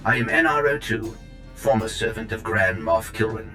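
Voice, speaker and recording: A man's voice: lowish voice, moderate pace, lightly acted. A man playing a coolly formal, loyal military droid in a sci-fi game, clipped and unemotional. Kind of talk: scripted video game dialogue. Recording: music behind the voice.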